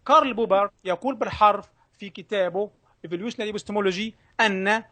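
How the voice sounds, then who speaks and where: A man's voice: high-pitched, a little fast, sharp tone, no room sound. A man debating combatively, pressing challenges and repeating himself insistently.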